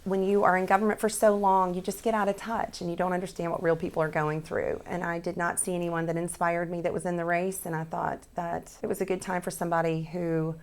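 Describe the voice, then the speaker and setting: Guest: hoarse woman, forties, from South Louisiana, lower-pitched, earnest and halting. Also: level tone. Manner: earnest, many restarts and fillers. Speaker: Senate candidate guest. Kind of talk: interview answers.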